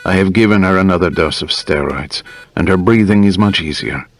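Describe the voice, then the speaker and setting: A man voicing a courteous, careful hospital doctor in an audiobook, with measured, formal phrasing. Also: sits low. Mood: calm, reassuring.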